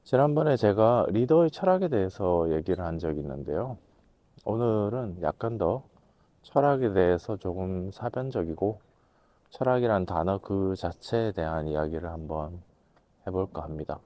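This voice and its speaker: A man with a voice hoarse from a cold, giving a measured, reflective talk to camera, pausing to think as he explains. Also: deep voice, animated, mellow, no room sound. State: has a cold. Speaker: host, management consultant lecturer.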